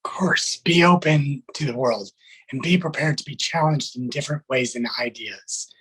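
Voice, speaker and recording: Man, military sergeant, slightly high husky voice, formal, careful, prepared interview answers. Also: normal speed, a bit bright, low-quality recording.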